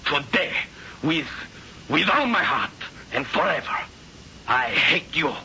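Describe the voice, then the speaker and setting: A man's voice: a little high, very animated, slow, very noisy. An actor playing a passionate young Spanish man with a Spanish accent, speaking intense broken English in a 1970s radio drama. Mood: wounded. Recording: muffled old radio broadcast.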